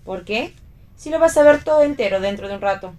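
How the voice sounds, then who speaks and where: A woman with an Argentine accent playing a lively young actress, a bossy, reassuring friend, in a radio play reading. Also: mid-range voice, fairly quick, close to an everyday voice. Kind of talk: read theatre for radio.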